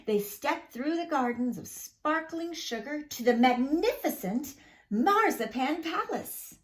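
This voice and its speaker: A woman's voice: high voice, animated, takes it slowly. A woman narrating a children's storybook expressively, with dramatic, careful delivery for young listeners.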